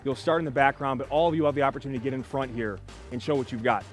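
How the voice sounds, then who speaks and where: A man who is a fitness trainer and judge, coaching in an energetic, pumped-up, motivational way. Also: mid-range voice, very fast, faint music behind. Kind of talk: hyped-up workout coaching.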